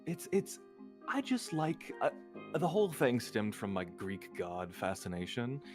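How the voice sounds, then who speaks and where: A man's voice: medium pitch, big pitch swings, a little slow, over music. A man chatting casually as a guest on a stream, easygoing and a little hesitant in how he talks. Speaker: stream guest, ASMR creator and artist.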